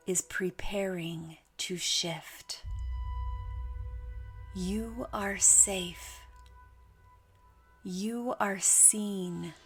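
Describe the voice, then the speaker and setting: A woman narrating a scripted guided meditation in a hushed, deliberate manner with long pauses. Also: mid-range voice.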